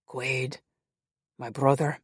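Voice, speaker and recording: A narrator voicing a gruff giant Highlander warrior with a deep Scottish Highlands brogue. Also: male voice, little pitch movement, slow, no echo, studio quality.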